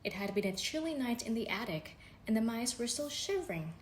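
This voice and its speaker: Woman narrator, lively pitch, bedtime storybook read in an echoey room with faint noise. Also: a little fast. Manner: gentle, expressive reading with voices for characters. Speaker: storybook reader.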